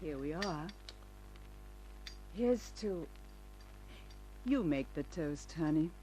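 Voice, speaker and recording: A sly, flirtatious noir dame. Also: female voice, deep voice, lively intonation, slow, dark tone, slight background noise, low-quality recording. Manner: coy, teasing. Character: flirtatious, sly blonde femme fatale. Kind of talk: old-time radio crime drama acting. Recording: old radio recording with faint music.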